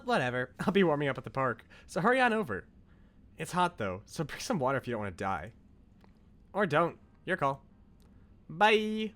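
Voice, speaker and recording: A man's voice: mid-range voice, a bit bright. Man voicing a blunt young woman. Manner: blunt, offhand. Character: brusque teasing young woman, athletic friend leaving a voicemail.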